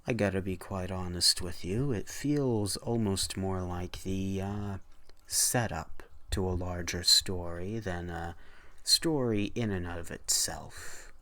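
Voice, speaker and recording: A man narrating in a deliberate, theatrical way, as a dramatic reader of horror stories. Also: deep voice, pushed and bright, no echo. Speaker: narrator of horror story readings.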